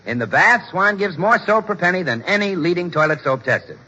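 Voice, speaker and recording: A man reading scripted 1940s radio advertising as the show's announcer, with a raspy edge. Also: high-pitched, expressive, brisk, pushed and bright. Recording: muffled 1940s radio with long reverb.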